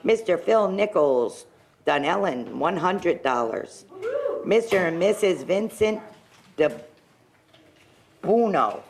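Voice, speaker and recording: A woman's voice: fairly low pitch, animated, takes it slowly, gravelly, pauses often. A woman on a telethon, first chatting eagerly about her donation and then reading out donor names and amounts, tripping over hard names and apologizing. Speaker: local business owner donating to a telethon. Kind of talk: casual chat, reading donor names aloud. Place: television telethon studio. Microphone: handheld microphone.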